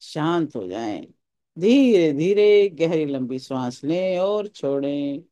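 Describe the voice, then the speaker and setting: Slow, mellow meditation teacher with soft-edged tone. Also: mid-range voice, no echo. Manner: calm, soothing instructions in short pausing phrases. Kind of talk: guided meditation instruction.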